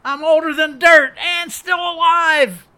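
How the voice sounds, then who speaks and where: A man voicing a cantankerous, foul-mouthed elderly male war veteran in an audiobook, gruff and ranting. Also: extremely high pitch, steady tone, takes it slowly, pushed and bright, voice pushed up, full character voice. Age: older. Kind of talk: audiobook character voice.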